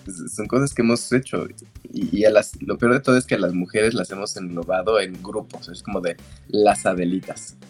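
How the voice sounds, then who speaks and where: A man's voice: on the low side, steady tone, normal speed, slightly rough, soft background music. A man, an author answering interview questions in a frank, opinionated way. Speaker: author and guest.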